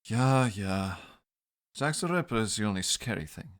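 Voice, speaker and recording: A man's voice: on the low side, animated, normal speed, lightly acted. A man playing a laid-back, flirtatious Victorian doctor in an audio drama, with music behind him. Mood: lazy, amused. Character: easygoing, hungover, flirtatious male Victorian doctor.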